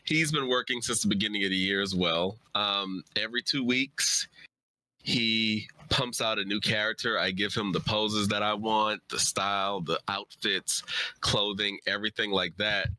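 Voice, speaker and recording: A man's voice: fairly low pitch, moderate pace, sharp tone, no echo, low-quality recording. A man talking casually on a livestream as a co-presenter, informal and easygoing in how he speaks. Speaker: writer and streamer, co-presenter.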